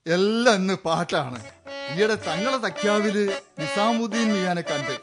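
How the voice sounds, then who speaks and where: A man's voice: high-pitched, lively intonation, a little slow. An actor playing a proud older village man in a radio drama, with a Malabar Malayalam accent. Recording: radio drama with music underneath.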